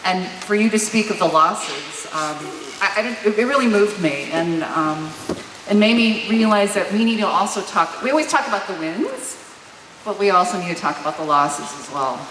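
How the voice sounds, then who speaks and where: Woman in the audience, earnest and kinda halting, big echoey hall, distant mic, faint hiss. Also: mid-range voice, expressive. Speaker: audience member, history teacher. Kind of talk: audience question at panel. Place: auditorium panel event.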